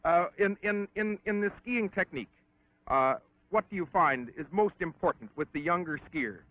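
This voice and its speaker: A man conducting a formal, polite sports interview on a 1960s film, with a slightly halting delivery. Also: high voice, expressive, dry recording. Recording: muffled 1960s film soundtrack.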